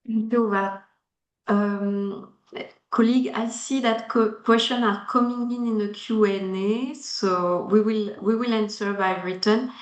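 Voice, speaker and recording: A woman's voice: mid-range voice, steady tone, measured pace. A woman moderating a webinar over a video call, speaking hesitantly with careful phrasing. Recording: echoey room on a video call.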